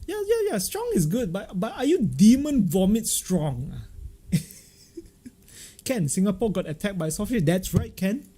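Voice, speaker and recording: A man with a Malaysian accent hosting a casual livestream, chatting and telling stories in an animated, jokey way full of local slang. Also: sits high, measured pace, husky. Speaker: livestream host. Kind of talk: casual live-stream chat and storytelling.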